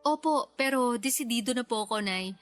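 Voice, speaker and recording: An actress as a young adult daughter in a radio drama speaks in a fairly high voice. She is respectful and eager, a woman with ambitions. Soft music sits behind her. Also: brisk, natural voice. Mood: eager, determined. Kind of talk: Tagalog radio drama acting.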